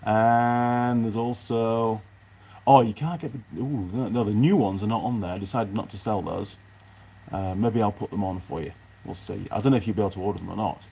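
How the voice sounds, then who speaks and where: A man's voice: on the low side, fairly even intonation, brisk, vocal fry, dark tone, breathy edge. A man chatting casually and unscripted to his viewers as a mechanic vlogger, rambling along and thinking aloud in his workshop.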